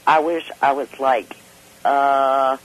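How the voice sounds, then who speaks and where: An older woman with a hoarse voice, chatting casually and excitably as a caller on a radio talk show. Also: a bass voice, slow pace, rough recording. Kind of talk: casual, jokey chat.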